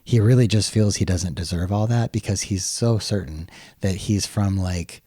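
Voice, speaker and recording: A man's voice: sits low, normal speed, warm tone, dry recording, studio quality. A man co-hosting a podcast, talking in a reflective, earnest, conversational way. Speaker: podcast co-host. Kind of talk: conversational book discussion.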